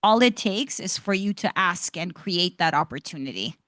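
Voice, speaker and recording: A woman's voice: on the low side, expressive, normal speed, a bit bright, no echo, clean studio sound. A woman speaking as an institute director on an academic panel, articulate and enthusiastic, encouraging students. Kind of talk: panel discussion answer. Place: lecture hall panel event.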